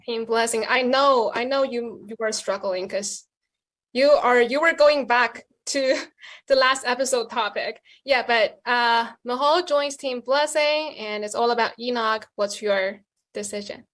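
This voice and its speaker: Higher-pitched woman hosting a debate show. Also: measured pace, fairly bright tone. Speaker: host.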